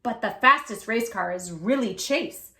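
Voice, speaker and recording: Woman playing a commanding race official car, storybook, loud and high, bright, echoey room. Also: expressive, a little slow, heavily acted. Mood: urgent. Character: official race committee car, bossy and urgent.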